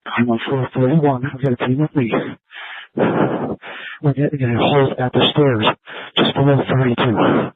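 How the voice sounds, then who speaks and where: A man's voice: medium pitch, steady tone, a little slow, breathy edge, no room sound. A male police officer giving terse tactical instructions over a police radio. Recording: tinny narrowband police scanner radio audio.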